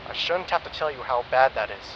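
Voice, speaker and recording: A man voices a protective ally hiding out somewhere safe. He speaks calmly and reasons with care, and the sound is muffled and lo-fi with background hiss. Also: medium pitch, fast, close to an everyday voice. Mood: concerned. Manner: calm, reasoning, concerned. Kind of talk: audio drama acting. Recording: dull, noisy, lo-fi recording.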